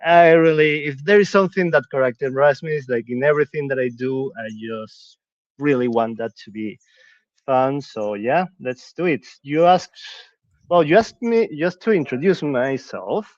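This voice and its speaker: A man's voice: medium pitch, moderate pace, no echo. Man talking earnestly, dry dull sound. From Venezuela. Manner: earnest, searching for words, frequent restarts. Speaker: podcast guest. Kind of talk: reflective conversational talk.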